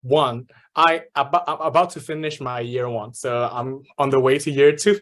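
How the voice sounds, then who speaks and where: A man's voice: mid-range voice, fairly even intonation, normal speed, fairly bright tone. A young man from Myanmar, a first-year university student, politely introducing himself on a panel over a video call. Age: young adult. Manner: polite, brief self-introduction.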